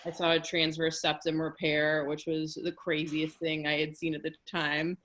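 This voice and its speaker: A woman's voice: low voice, monotone, moderate pace, fairly bright tone. A woman fertility fellow introducing herself casually on a webinar, with many fillers.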